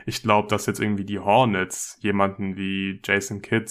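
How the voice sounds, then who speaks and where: Low, even-toned man explaining sports. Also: moderate pace, clear, resonant tone. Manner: explanatory, uses English sports terms. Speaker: podcast guest. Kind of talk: casual basketball analysis.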